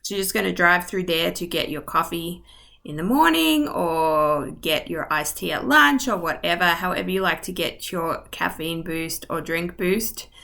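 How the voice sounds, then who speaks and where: A woman's voice: fairly low pitch, lively intonation, moderate pace, creaky, pushed and bright. A woman real estate agent narrating a map tour in a friendly, informal way, a little short of voice. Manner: friendly, informal, with ums and asides. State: losing her voice. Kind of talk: map tour narration over screen share.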